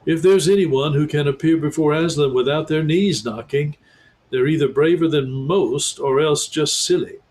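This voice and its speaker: A man's voice: on the high side, normal speed, a little mellow, natural voice. A man reading aloud and voicing a motherly, frank female beaver in a homely, reassuring way.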